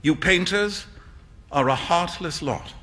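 A man voicing a naive, earnest young English gentleman in a storybook, easily flustered, plaintive and indignant. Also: high-pitched, sing-song, unhurried, pitched above the performer's own voice, exaggerated voice. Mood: earnest concern. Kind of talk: acted storybook dialogue.

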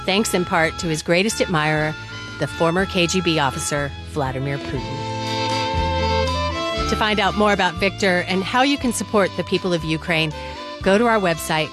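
A woman radio host reading a scripted closing narration in a steady, even way. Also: on the low side, normal speed. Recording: music bed under the voice.

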